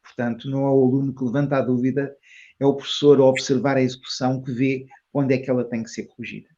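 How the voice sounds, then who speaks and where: An older man with a European Portuguese accent answers interview questions reflectively as a teacher, in measured, carefully reworded phrases. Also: medium pitch, flat delivery, dark tone, muffled recording. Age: older, retired. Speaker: Tai Chi and Qigong teacher, interview guest.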